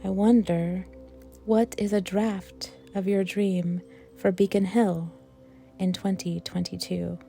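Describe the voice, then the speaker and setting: A woman leads a guided meditation in a fairly low, mellow voice with a noticeable creak. Her delivery is gentle and reflective, with space left between phrases, as a worship leader would give it. Soft music plays faintly behind her. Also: flat delivery, slow.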